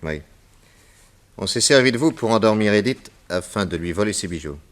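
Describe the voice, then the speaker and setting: A man plays a brisk, authoritative detective in a radio play. His voice is low, with formal courtesy and insistent, probing questions. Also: animated, lightly acted. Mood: commanding, probing. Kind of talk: theatrical radio detective drama acting.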